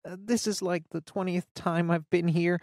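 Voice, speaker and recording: A woman's voice: on the low side, steady tone, normal speed, darkish tone, no echo, clean studio sound, voice pushed up, natural voice. A woman voicing a rattled teenage girl who talks in a rush and keeps breaking into tears. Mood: nervous. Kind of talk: audiobook character voice.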